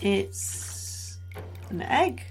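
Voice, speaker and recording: An actress playing an anxious, sarcastic junior scientist in a sci-fi horror audio drama. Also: female voice, extremely slow. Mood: uneasy, sarcastic. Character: anxious, sardonic young female scientist on probation. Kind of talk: audio fiction acting.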